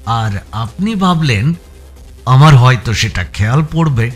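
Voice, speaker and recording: A man narrating a suspense thriller in a dramatic, expressive audio story style, with music behind him. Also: mid-range voice, measured pace. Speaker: narrator reading a first-person thriller.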